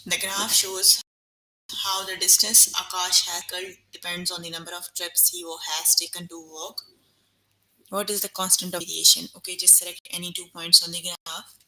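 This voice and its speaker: A woman's voice: on the low side, level tone, moderate pace, bright, forceful tone, poor audio. A young adult woman teaching a live online math lesson over a computer mic, stopping often to check that students follow. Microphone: computer mic on an online call.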